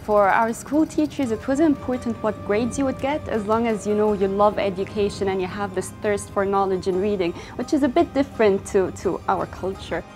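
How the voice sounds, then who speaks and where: A woman's voice: medium pitch, normal speed, hardly any pauses. Earnest young woman, faint music behind. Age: young adult. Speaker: fashion brand founder. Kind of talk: interview monologue.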